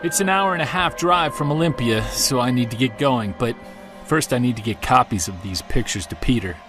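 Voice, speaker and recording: Male actor as driven middle-aged investigator, earnest, intent, medium pitch, audio drama, music behind. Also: a little fast, close to an everyday voice. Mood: reflective, intent. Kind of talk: audio drama narration and dialogue.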